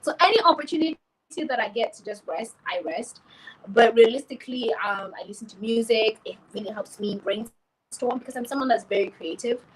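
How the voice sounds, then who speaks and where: A woman's voice: on the high side, brisk, slightly rough, low-quality recording. A woman from Tanzania and Cameroon, a French speaker, talking casually about herself in an interview. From grew up in Tanzania, born in Cameroon. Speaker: podcast host, journalist and entrepreneur.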